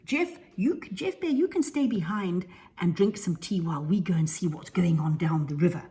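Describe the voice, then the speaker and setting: A woman's voice: lowish voice, animated, moderate pace, mellow. A woman voicing an eager, bold little boy bear who leads the other cubs in a children's story. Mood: eager, bossy.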